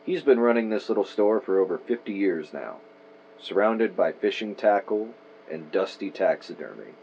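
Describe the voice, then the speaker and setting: Man playing podcaster in his thirties, audio drama, lowish pitch, earnest, theatrical, slightly muffled sound. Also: lively intonation, darkish tone, slight background noise. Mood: moody, theatrical. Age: 30s. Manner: measured, theatrical when narrating. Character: earnest 32-year-old male podcaster, inquisitive, theatrical narrator. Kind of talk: audio drama, moody scripted narration and interviewing.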